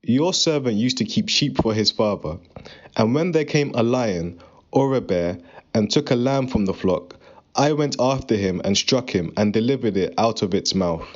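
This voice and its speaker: A man's voice: fairly low pitch, lively intonation, moderate pace, darkish tone. A man playing a confident, faithful young shepherd in a dramatized scripture reading, speaking in an earnest, declarative way. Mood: earnest, resolute. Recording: dull, muffled lo-fi recording.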